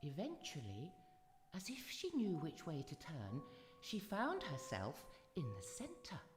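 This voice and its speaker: A woman narrates a fairy tale for radio in a low, widely varied voice. Her storytelling is dramatic and scripted. It sounds like a reverberant hall with music behind her. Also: wildly swooping pitch, slow.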